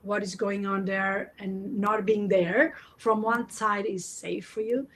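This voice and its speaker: A woman host speaks slowly, in a middle-pitched voice, over a video call. She sounds earnest and keeps searching for her words as she talks. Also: steady tone, fairly bright tone, a little hiss or hum. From Portugal. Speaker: podcast host. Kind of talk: live podcast conversation.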